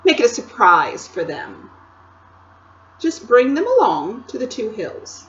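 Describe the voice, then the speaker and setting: A woman reading aloud, voicing a cold, sly queen who coaxes a boy in a sweet, drawn-out voice. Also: a little high, huge pitch swings, a bit bright, echoey room, muffled recording, slight background noise, pitched above the performer's own voice, heavily acted. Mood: coaxing.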